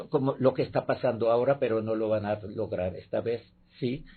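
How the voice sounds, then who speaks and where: A man, a guest professor, giving measured political commentary in a debate, pausing often with fillers. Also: medium pitch, slightly rough. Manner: measured, halting with many fillers. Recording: tinny phone-like line.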